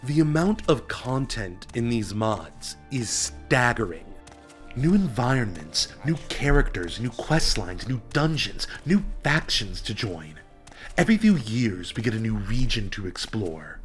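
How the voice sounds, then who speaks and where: A man hosting a lore video, narrating from a script with a stuffy voice from a cold and dramatic pauses. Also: lowish voice. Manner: enthusiastic storytelling with dramatic pauses. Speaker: video essay host.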